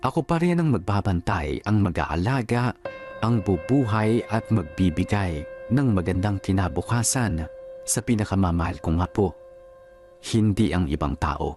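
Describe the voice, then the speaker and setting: A man's voice: low voice, expressive, normal speed, darkish tone. A man playing a devoted, weary old grandfather, narrating his story solemnly and heartfelt with a Filipino accent in a radio drama. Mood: solemn, resolute. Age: older. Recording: music bed under narration.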